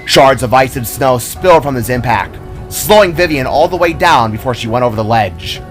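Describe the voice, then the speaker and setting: Guy narrating an action fantasy story dramatically, normal pitch, music going behind him. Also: animated, normal speed. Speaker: author-narrator.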